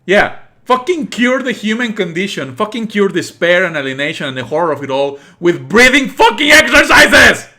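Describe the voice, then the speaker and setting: A man's voice: sits high, very animated, normal speed, hardly any pauses, sharp tone. A man doing live let's play commentary as a streamer, emphatic, exasperated and prone to swearing.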